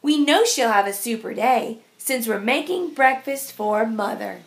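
A woman with a high voice reads a picture book to small children. Her delivery is very slow and sing-song, with a noticeable creak in the voice. She places each phrase deliberately, as a teacher would. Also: expressive. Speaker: teacher reading aloud. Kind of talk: picture-book read-aloud for young children.

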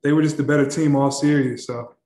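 A young man, a basketball player, answering questions at a postgame press conference, halting and repeating words with many fillers. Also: fairly high pitch, steady tone, mellow, roomy sound. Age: young adult. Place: press room in front of a backdrop.

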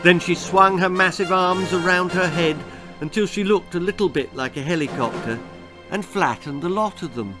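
A man's voice: sits high, normal speed. An older man reading a grisly comic children's story in a theatrical, suspenseful manner. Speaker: author reading his own story. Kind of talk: dramatic children's horror-comedy storytelling. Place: book-lined room indoors. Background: music.

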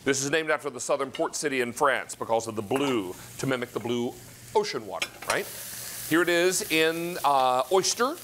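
An American man in middle age hosts a live TV sales pitch. He talks in a folksy, effusive way, at a medium pitch, selling from a studio kitchen. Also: animated, normal speed, a bit bright, a little hiss or hum. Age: middle-aged. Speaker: shopping channel host.

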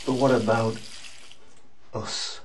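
A male actor plays a younger servant in a radio drama. He is irreverent, probing and a bit uneasy, in a mid-pitched voice over faint ambient noise. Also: fairly even intonation, takes it slowly, close to an everyday voice. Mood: questioning.

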